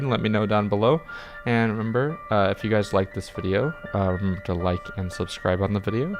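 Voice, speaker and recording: A man narrating a scripted video essay review as the channel's host, with emphatic, wordy phrasing that leans on repeated words. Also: fairly low pitch. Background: background music.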